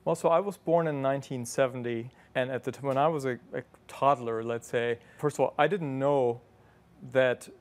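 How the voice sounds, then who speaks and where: A man, a guest in an interview, telling his memories in a thoughtful, measured way. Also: medium pitch, fairly even intonation. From West Germany. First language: German. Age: 50s. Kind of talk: reflective conversational storytelling.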